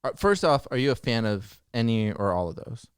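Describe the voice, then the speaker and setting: A man with a medium-pitched voice and very animated intonation co-hosts a casual chat. He teases and banters playfully. The sound is dry, with little room in it. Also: fairly quick, clean tone, no echo. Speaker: talk show co-host.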